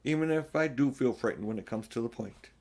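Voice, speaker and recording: A man voicing a loyal older-brother figure, a boy in a storybook who speaks in an earnest, urgent, halting way. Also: medium pitch, a little mellow, natural voice. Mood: eager. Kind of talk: storybook character voice.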